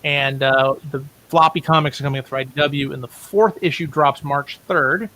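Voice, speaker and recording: A man's voice: medium pitch, fairly even intonation, moderate pace, sharp tone, rough recording. A man speaking as an interview guest, a comics writer casually plugging projects. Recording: faint background hiss.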